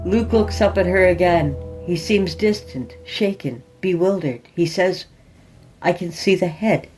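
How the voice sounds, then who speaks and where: Female narrator for horror fiction podcast, somewhat low register, dramatic scripted read, light music bed. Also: measured pace, darkish tone, low-quality recording. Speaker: podcast host and story narrator. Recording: music behind the voice.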